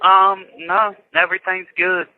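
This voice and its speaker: A man's voice: high-pitched, flat delivery, a little slow. A young man talking casually on a recorded telephone call. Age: young adult. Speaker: jail inmate calling his mother. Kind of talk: casual phone conversation. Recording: tinny lo-fi recorded jail phone call.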